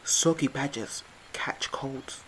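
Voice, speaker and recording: A woman voicing a courteous, bossy old-fashioned male owl in a children's storybook, hooting and deliberate. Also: a bass voice, whispered, poor audio. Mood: advising.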